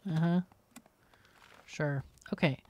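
A woman's voice: sits low, fairly even intonation, slow pace, dark tone, no room sound, highs cut off. A woman hosting a gaming video, casually thinking aloud and explaining as she plays. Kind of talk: casual gameplay commentary.

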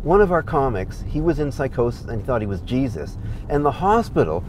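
A middle-aged man explains things earnestly and breaks into easy laughter. He speaks at an ordinary, middle pitch. He sits in the passenger seat of a moving car, with a faint hum of outside noise behind him. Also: very animated, fairly quick, low-quality recording. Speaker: guest, comedy program founder. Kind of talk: conversational interview.

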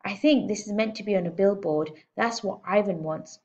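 A woman voicing an eager, determined young girl who pleads excitedly with her father. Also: mid-range voice, moderate pace, dull audio, lightly acted.

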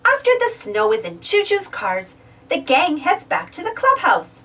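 A woman narrating a children's read-aloud storybook in an animated, expressive manner. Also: very high-pitched, a little slow, vocal fry, far from the mic.

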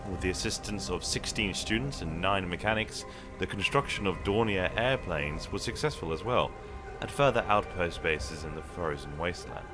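Actor as lowish male expedition reporter. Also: close to an everyday voice. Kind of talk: scripted audio drama narration.

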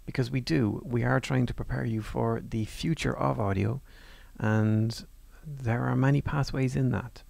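A male lecturer talks through his slides in an easy, conversational way, pausing on fillers and restarting sentences. His voice is heavily gravelly with a lot of fry. It was recorded very dry and close, with no room sound. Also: medium pitch, steady tone, a little mellow, no room sound. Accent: non-Northern Irish. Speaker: university course lecturer. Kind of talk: presenting over slides.

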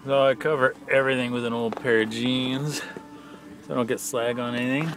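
A man talking casually off the cuff as an amateur mechanic, in a plain-spoken, halting, matter-of-fact way while filming with a handheld camera. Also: mid-range voice, fairly even intonation, over music. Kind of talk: casual off-the-cuff how-to narration. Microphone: handheld camera, speaker behind it.

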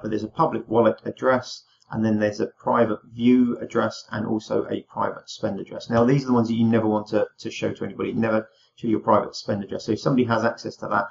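A young man, slightly hoarse from a cold, talking through a screen-share tutorial in a relaxed way with many ums and uhs. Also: lowish voice. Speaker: crypto tutorial host. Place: small room indoors. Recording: dull lo-fi home recording.

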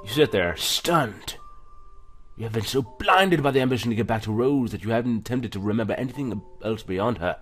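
A man's voice: medium pitch, animated, a little fast, fairly bright tone, faint music behind. A man hosting a gaming video in a casual, chatty, self-deprecating way, reading text aloud with stumbles. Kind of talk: casual let's-play commentary with reading aloud.

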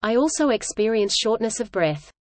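A woman reading an English lesson dialogue aloud in a measured, even way, repeating each line for learners. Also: fairly high pitch, no echo.

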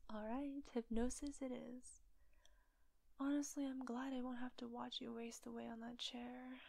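A teasing female kidnapper acted by a woman in an intimate audio roleplay. Her voice is fairly high but mellow, with a gravelly creak under the soft whisper. She sounds smug and coaxing, calmly in control. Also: no room sound. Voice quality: soft, breathy, often whispery. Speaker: voice actor.

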